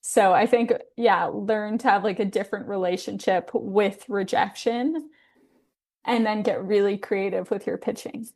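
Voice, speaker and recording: Woman with a gravelly, relaxed voice. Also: medium pitch, a little slow. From Hawaii. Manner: relaxed, friendly, filler-heavy speech. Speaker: podcast guest, photographer. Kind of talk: casual conversational interview.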